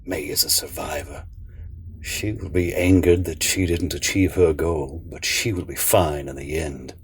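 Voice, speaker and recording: A man playing a cryptic, sinister figure in an audio drama, with a measured, knowing, theatrical delivery. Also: a bass voice, a little hiss or hum.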